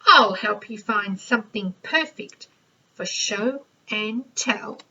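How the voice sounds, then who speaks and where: A woman's voice: mid-range voice, animated, takes it slowly, dull audio, noisy background. A woman voicing a small, fussy, bossy male storybook character who worries and talks in an emphatic, know-it-all way. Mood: disapproving.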